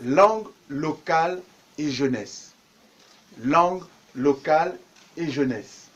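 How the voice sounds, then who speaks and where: A man's voice: a little high, takes it slowly, highs cut off, a little hiss or hum. A man, a government minister, giving a formal, ceremonious official speech.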